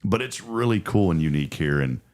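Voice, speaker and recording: Deep raspy hoarse Texan host. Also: male voice, lively intonation, fairly quick, darkish tone. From Texas. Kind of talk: casual chat.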